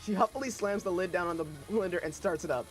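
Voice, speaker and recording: A man's voice: sits high, quick pace, soft background music, low-quality recording. A young man reading a novel aloud in first person, breaking off for irreverent, joking asides. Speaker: reader of a novel.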